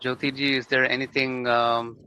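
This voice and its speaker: A man hosting a podcast, asking questions and reading formal guest introductions in a measured way. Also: mid-range voice, little pitch movement, pushed and bright, slight background noise. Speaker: podcast host. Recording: dry, dull lo-fi mic.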